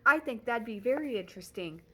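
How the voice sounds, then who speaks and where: A woman voicing a prim, shallow housewife who speaks in an indignant, high tone. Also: animated, brisk, bright, forceful tone. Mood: coy. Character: shallow, prim suburban housewife, easily offended.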